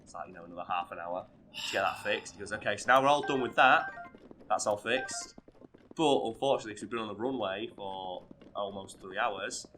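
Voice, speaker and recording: A hoarse, ill man swearing freely as he plays a video game and tells a story. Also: fairly low pitch, fairly quick, a little hiss or hum. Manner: swears freely, bursts into loud outbursts. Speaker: co-host playing a video game. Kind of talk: casual gaming chat with storytelling.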